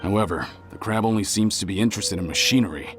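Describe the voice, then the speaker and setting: Low, measured caravan investigator played by a man. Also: lightly acted. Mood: grave, informative. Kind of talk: video game character dialogue. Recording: game music under the voice.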